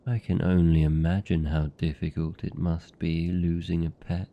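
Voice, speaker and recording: A British man playing a seductive, possessive vampire captor in an intimate fantasy ASMR roleplay, teasing and doting in a dark, gravelly murmur. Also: deep voice, flat delivery, dull audio. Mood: mock sympathy. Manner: slow, teasing, velvety endearments.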